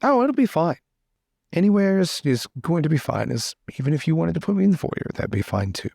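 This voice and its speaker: Man playing a breezy bantering lord, swooping pitch. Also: big pitch swings, fairly quick, gravelly, darkish tone, no echo, clean studio sound, full character voice. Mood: playful, teasing. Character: breezy, bantering young lord, fond teasing cousin.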